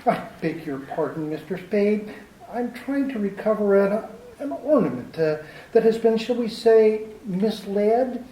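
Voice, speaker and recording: A woman playing a fussy, overly polite male gentleman crook in a radio play, talking in a prim, formal, hesitant way. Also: mid-range voice, animated, measured pace, reverberant, recorded from a distance, lightly acted, rough recording. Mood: ingratiating. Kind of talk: amateur 1940s-style radio drama acting.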